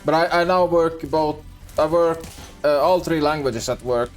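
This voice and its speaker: A man's voice: a little high, a little slow, faint music behind. A young man with a Finnish accent, whose first language is Swedish, chatting casually and hesitantly on a gaming stream with lots of restarts. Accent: Finland Swedish. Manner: rambling, hesitant, frequent restarts and laughs. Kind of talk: casual gaming livestream chat.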